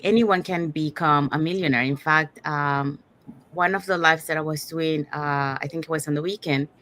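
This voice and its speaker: A woman's voice: deep voice, a bit bright, slight background noise. A woman, hosting a casual live online talk. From Panama. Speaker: host, digital business owner. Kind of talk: casual live webinar presenting.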